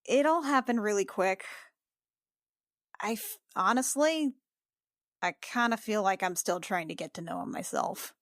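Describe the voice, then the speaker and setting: A woman playing a tabletop roleplaying game, speaking casually and haltingly as she improvises dialogue. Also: medium pitch, lively intonation, a bit bright, no echo, studio quality.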